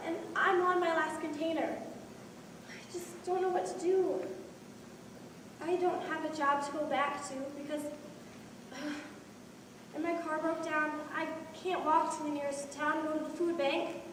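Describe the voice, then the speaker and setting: A woman's voice: sits high, lightly acted. A woman playing an anxious, overwhelmed single mother in a stage monologue. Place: stage in a large echoing hall. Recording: echoey hall from far off, faint noise.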